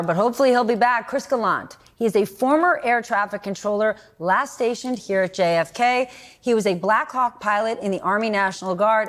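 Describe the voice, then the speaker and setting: A woman's voice: mid-range voice, a bit bright. Female news host. Middle register, direct and pointed in interview.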